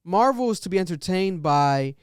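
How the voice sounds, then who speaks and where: Podcast co-host, male, fairly high voice, smooth tone; loose, joking, animated talk. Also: no echo, clean studio sound. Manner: animated, laughing, quick asides. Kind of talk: casual podcast chat.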